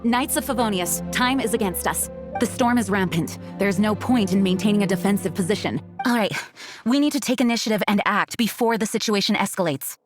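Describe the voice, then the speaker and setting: A woman's voice: medium pitch, brisk, over music, natural voice. A woman playing a dutiful, earnest knight commander in a video game, formal and resolute.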